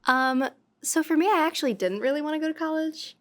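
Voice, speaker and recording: A woman's voice: sits high, fairly quick. A young woman, a college senior from New Jersey, talking casually on a panel with many filler words. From New Jersey, USA. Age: young adult. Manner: relaxed, many "um"s. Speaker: senior undergraduate student.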